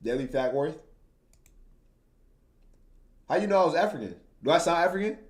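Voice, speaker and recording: A man's voice: a little high, expressive, very fast. A young man from Tanzania chatting casually on a livestream in informal slang, repeating phrases for emphasis. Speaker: streamer and video creator.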